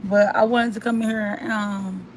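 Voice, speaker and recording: A young woman vlogger with a hoarse, worn-out voice, chatting and rambling straight to her viewers. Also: mid-range voice, fairly even intonation, a little slow, slight background noise. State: hoarse, voice mostly gone. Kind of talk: casual chat to viewers.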